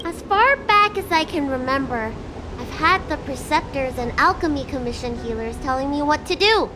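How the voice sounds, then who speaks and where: A woman's voice: sits high, animated, moderate pace, noisy background. A woman voicing a young girl dragon healer in a game, spirited but burdened. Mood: wistful, earnest. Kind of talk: video game voice acting. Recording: loud music behind.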